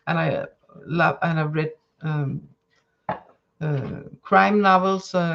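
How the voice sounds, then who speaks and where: A woman's voice: on the low side, muffled recording. Danish woman, hesitant live-stream chat. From Denmark. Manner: rambling, hesitant, many fillers and restarts; soft spiritual guidance. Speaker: tarot reader.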